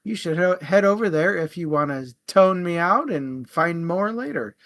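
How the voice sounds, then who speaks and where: A man's voice: sits high, animated, normal speed, fairly bright tone. A man co-hosting a book discussion show, chatting casually in an informal, jokey and sometimes profane way. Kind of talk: casual book chat with plugs.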